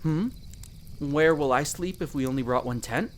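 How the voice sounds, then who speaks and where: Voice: medium pitch, normal speed, lightly acted. A performer playing a friendly, protective young elf explorer in a roleplay audio drama, chatty and reassuring, speaking to the listener. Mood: warm, reassuring.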